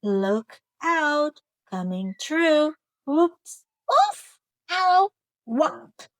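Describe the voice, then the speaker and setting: A woman voicing an earnest, cheerful couch potato in a storybook, with expressive, drawn-out delivery. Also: high voice, no echo, clean studio sound, pitched above the performer's own voice, exaggerated voice. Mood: alarmed.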